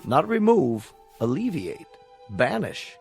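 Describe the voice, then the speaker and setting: A man's voice: on the high side, very animated, slow pace, vocal fry, soft background music, heavily acted. An actor playing a courteous, polished corporate salesman in a radio drama, talking persuasively. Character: smooth-talking corporate salesman selling rebirth.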